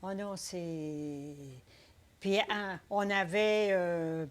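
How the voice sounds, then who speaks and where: An older woman with a Québécois accent, telling her story in a casual interview, unhurried and hesitant, full of fillers. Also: on the low side. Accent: Québécois French. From Quebec. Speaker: interviewee, entrepreneur.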